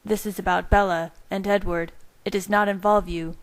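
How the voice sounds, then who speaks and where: A woman voicing a compassionate older male doctor who speaks gently but firmly, with sorrow. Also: medium pitch, fairly even intonation, moderate pace, lightly acted, poor audio. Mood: gentle.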